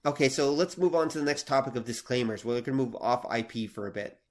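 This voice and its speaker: A man's voice: medium pitch, steady tone, quick pace. A man casually moderating a podcast discussion, asking questions and steering the talk.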